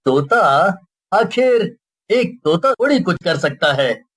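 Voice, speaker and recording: A man's voice: high voice, big pitch swings, slow pace, a bit bright, exaggerated voice. A man playing a concerned, protective, earnest father in a cartoon horror story. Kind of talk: Hindi cartoon character acting.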